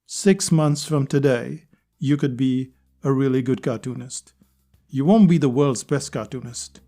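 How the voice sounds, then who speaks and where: Mellow-voiced man hosting a podcast. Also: mid-range voice, unhurried, faint music behind. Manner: earnest, conversational, repeats phrases for emphasis. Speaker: podcast host. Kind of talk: solo podcast monologue.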